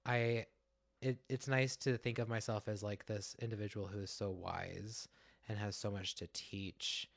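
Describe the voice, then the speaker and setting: A man with a high-pitched voice, co-hosting a podcast in playful, animated casual chat. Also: unhurried, creaky. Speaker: podcast co-host.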